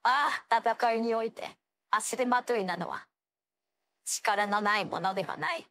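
A proud young ice-wielding swordswoman from an anime fighting game. Her voice sits high for a female. She phrases everything in an old-fashioned, imperious way, stern and commanding. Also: unhurried, a bit bright. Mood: cold, grave. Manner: archaic, haughty, commanding phrasing.

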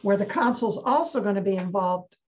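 A woman's voice: medium pitch, brisk, a little mellow, breathy edge. A woman on a committee video call gives matter-of-fact, reassuring input about council scheduling. She answers plainly and keeps it practical. The audio is dull and lo-fi. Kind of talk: meeting discussion.

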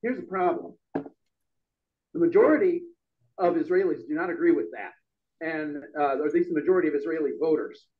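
A middle-aged man giving a loud, emphatic speech at an open-mic forum in a bar room. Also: a little high, expressive, moderate pace, long pauses, phone-quality sound. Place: bar room with a small audience. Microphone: stand mic.

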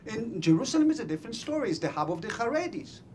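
A man's voice: sits high, sing-song, fast, darkish tone, a little hiss or hum. A middle-aged man with an Israeli accent gives an opinionated, emphatic comment at an academic discussion, speaking into a handheld microphone. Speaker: audience member and scholar commenting at a panel. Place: conference room full of seated audience.

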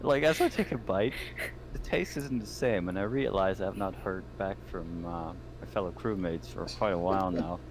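Low-voiced man playing a tabletop game. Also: normal speed. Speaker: tabletop roleplaying player. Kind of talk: in-character play and casual chat. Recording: clear background noise, online voice call.

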